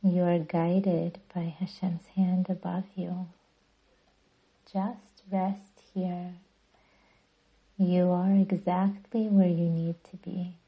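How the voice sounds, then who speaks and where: Female meditation guide, breathy with a creaky edge, even and soothing, slightly muffled recording. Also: on the low side. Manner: soothing, gentle, deliberate. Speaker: yoga nidra guide and podcast host.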